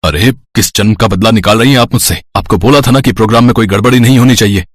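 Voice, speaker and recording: A man playing a stern, middle-aged school principal in a story, husky, officious and admonishing. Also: lowish voice, fast talker, dry recording, clean studio sound, close to an everyday voice. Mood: exasperated.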